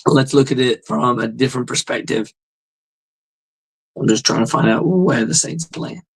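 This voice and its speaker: Hoarse male fan host, swears freely, rambles through a casual postgame rundown. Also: mid-range voice, normal speed. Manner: blunt, profane, rambling fan talk. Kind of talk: casual sports reaction monologue.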